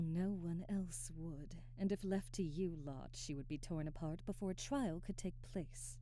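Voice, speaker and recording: A woman playing a haughty, commanding aristocratic vampire in an ASMR audio roleplay, speaking formally with imperious, deliberate diction. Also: on the low side, dark tone, no echo. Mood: defensive, stern.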